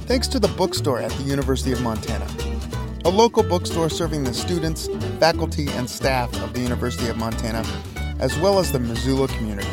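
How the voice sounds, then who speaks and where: Guy doing the sponsor thank-yous, lively voice, loud guitar music behind. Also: medium pitch, fast talker. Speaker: event announcer.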